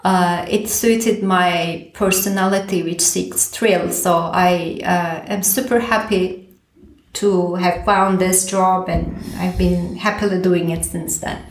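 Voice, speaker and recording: A woman from Turkey, a pro bono director, answering interview questions in a lively way, thinking aloud with many fillers and restarts. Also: fairly low pitch, slow, echoey room, a little hiss or hum, rough recording. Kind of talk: conversational podcast interview.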